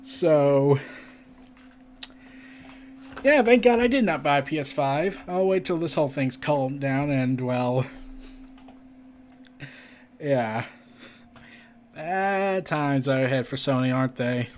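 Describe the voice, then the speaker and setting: A man's voice: mid-range voice, animated, slow, long pauses, slight background noise. A man hosting a casual entertainment and gaming news commentary, stumbling as he reads and adding chatty asides. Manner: stumbling when reading aloud, with chatty asides. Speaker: podcast host.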